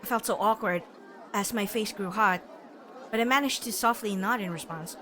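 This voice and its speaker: A woman's voice: medium pitch, moderate pace, some chatter behind. A woman live streaming a visual novel, reading text aloud and chatting expressively. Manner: expressive, reacts with frequent laughter and exclamations. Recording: noisy stream with game sound behind. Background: game audio and ambience.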